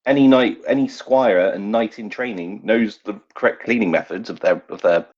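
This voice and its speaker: A man's voice: fairly low pitch, normal speed, a little mellow, natural voice. A man voicing an evasive, wheedling knight in training who stammers and talks over-formally in a tabletop game. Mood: pompous, persuading. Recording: dull, slightly lo-fi recording.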